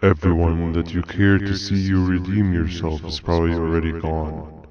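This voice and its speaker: A man voicing a weary, resigned jellyfish monster in a video game, flat and gloomy. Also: deep voice, normal speed, recorded from a distance, muffled recording, crowd noise, close to an everyday voice. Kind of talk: video game character acting.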